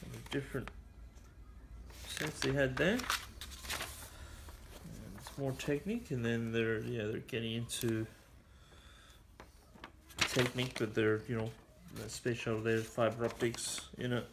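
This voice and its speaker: A man's voice: mid-range voice, vocal fry. A man casually showing off and reviewing a vintage building set, rambling with fillers, in a cluttered toy room. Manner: unhurried, rambling, with frequent fillers. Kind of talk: casual show-and-tell commentary. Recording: noisy home video.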